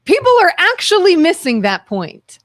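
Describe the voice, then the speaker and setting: A woman's voice: very high-pitched, huge pitch swings, moderate pace, no echo. A woman co-hosting a talk show from a studio, speaking emphatically and insistently. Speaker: radio talk show co-host. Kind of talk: casual opinion talk.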